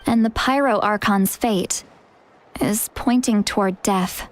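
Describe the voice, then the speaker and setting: A woman's voice: medium pitch, slow pace, natural voice. An actress voicing a cheeky, dramatic woman who is far older than she sounds, in a video game. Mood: worried, sincere. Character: ancient but youthful-sounding, dramatic, cheeky woman elder.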